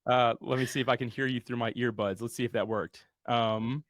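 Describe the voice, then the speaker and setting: A man's voice: medium pitch, steady tone, fairly quick, a bit bright, dry recording. A man hosting a webinar launch party, speaking earnestly and motivationally with casual fillers. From USA. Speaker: host.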